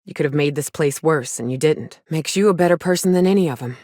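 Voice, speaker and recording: A woman's voice: sits low, quick pace, a little mellow, no room sound, clean studio sound. A woman playing a weary, cynical but caring frontier woman in a video game, blunt and plainspoken with folksy, sweary talk. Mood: resigned, disapproving. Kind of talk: video game character dialogue.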